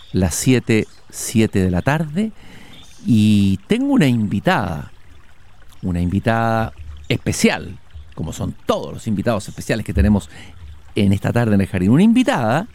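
A man hosting a relaxed interview while walking outdoors in a garden, speaking in a reflective, measured way with hesitations. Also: on the low side. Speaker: host.

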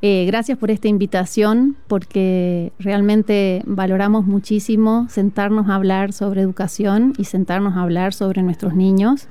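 An Argentine woman, a music teacher, speaks in an earnest, reflective way and pauses often on "eh." Her voice is mellow and smooth. The sound is dry and close. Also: medium pitch, fairly even intonation, no echo. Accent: Argentine Spanish. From Tucumán, northern Argentina. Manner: earnest and reflective, with frequent "eh" fillers. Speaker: music teacher and flutist, guest. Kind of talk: conversational interview. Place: outdoor school courtyard.

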